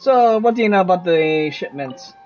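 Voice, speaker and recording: A man's voice: sits high, animated, moderate pace, faint music behind. A man streaming a video game, commenting casually and reading dialogue choices aloud.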